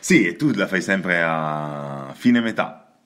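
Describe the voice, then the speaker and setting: A man's voice: sits low, expressive, takes it slowly, fairly bright tone. A man, chatting casually as a podcast co-host. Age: 20s. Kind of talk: casual chat.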